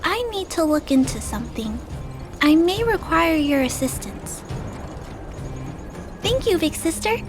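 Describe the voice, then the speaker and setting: A woman voicing a gentle, caring young girl in a video game, polite and earnest. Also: very high voice, very animated, measured pace, pauses often, heavily acted. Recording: game music behind the voice.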